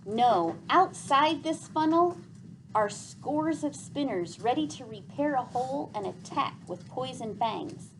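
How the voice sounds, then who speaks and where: Woman playing a commanding, deliberate female war leader. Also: high-pitched, expressive, slight background noise, pitched above the performer's own voice, low-quality recording. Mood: urgent warning. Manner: formal, archaic phrasing, decisive.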